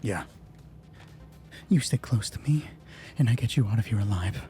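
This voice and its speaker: Man voicing a curt, menacing soldier woman, mellow-toned. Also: fairly low pitch, animated, normal speed, soft background music, voice pushed down, close to an everyday voice. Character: tough, threatening female special forces soldier. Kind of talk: acted dialogue in tabletop roleplay.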